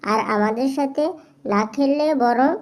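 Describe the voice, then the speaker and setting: A woman's voice: sits high, unhurried. A woman voicing a scornful, dismissive young animal playmate in a children's cartoon.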